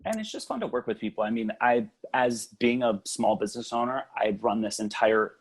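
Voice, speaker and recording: A man's voice: medium pitch, fairly quick, husky. A young man from Hawaii, a small business owner, chatting casually in an interview with lots of ums and easy laughter. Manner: relaxed, many ums, laughs easily. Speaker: venue owner, guest.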